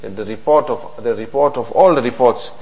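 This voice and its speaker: A man giving a hadith lesson in an earnest, repetitive preaching manner, asking rhetorical questions and reciting Arabic quickly. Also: mid-range voice, mellow, dull audio. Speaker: religious teacher.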